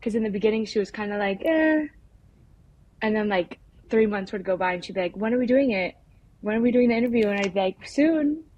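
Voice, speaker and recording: A young woman talks casually as a filmmaker being interviewed. Her delivery is informal and halting, with frequent filler words. Her pitch is average for a woman. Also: expressive, darkish tone, dull audio. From United States. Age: young adult. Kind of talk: casual conversational interview answers.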